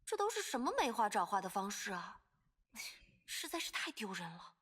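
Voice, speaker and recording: A woman's voice: medium pitch, big pitch swings, moderate pace, a bit bright, husky. An actress playing an awkward, self-deprecating female scientist in an anime-style video game. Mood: embarrassed. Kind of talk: scripted anime game voice acting.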